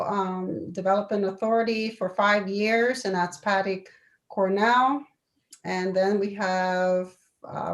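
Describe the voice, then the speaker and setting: A woman council member reading a formal committee report aloud, halting now and then to check and correct details. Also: mid-range voice. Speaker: city council member presenting committee appointments. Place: local government meeting.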